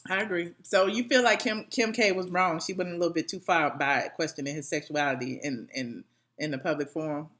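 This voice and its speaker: A woman's voice: on the low side, fairly even intonation, brisk, fairly bright tone. A woman hosting a call-in live stream, asking callers questions and thanking them. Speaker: livestream host taking calls. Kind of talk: call-in talk show.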